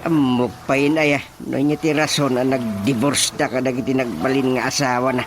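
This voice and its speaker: A man acting a sheepish, excitable, defensive husband in an Ilocano comedy radio drama. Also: mid-range voice, moderate pace, noisy background, lightly acted. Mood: gossipy.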